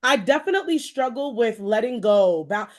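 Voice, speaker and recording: An American woman hosting a conversational, faith-based interview, speaking in an effusive, rambling way with expressive asides. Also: fairly high pitch, fairly quick. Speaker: show host interviewing a guest.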